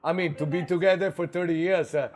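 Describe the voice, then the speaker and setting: A middle-aged man from Italy with an Italian accent, a restaurant co-owner chatting casually and haltingly, with many fillers and a few laughs. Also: fairly high pitch, fairly even intonation, darkish tone. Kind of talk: casual interview chat.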